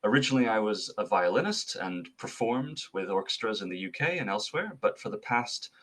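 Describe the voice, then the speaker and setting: A man's voice: fairly low pitch, expressive, fairly quick, fairly bright tone. A young man from Switzerland, answering interview questions as a guest in an articulate, thoughtful way with some fillers and restarts. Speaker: associate artist manager, guest.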